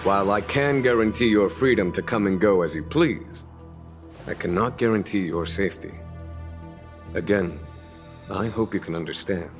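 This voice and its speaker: An actor playing a composed, courteous military general in a fantasy game, speaking in formal, measured phrases. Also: male voice, fairly low pitch, lightly acted. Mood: grave, courteous. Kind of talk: scripted game voice acting. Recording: background music.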